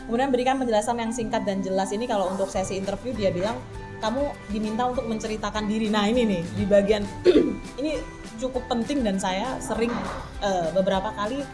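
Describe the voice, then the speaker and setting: A woman's voice: medium pitch, brisk, over music. Woman hosting a casual discussion. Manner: guides the talk, asks questions. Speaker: host.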